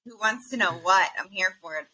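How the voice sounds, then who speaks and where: A woman's voice: medium pitch, brisk, sharp tone, a little hiss or hum, rough recording. A woman speaking as a guest on a podcast, frank and emphatic, with lots of "like" and "you know". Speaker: cannabis business consultant, guest. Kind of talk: casual podcast interview. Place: outdoors on an island.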